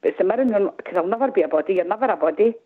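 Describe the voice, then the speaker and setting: A middle-aged woman with a Glaswegian accent calling in, rambling and animated, often asking "do you know what I mean". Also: medium pitch, very fast, slightly rough. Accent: Glaswegian Scottish. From Glasgow, Scotland. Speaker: caller. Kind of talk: casual phone-in chat. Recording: tinny phone line.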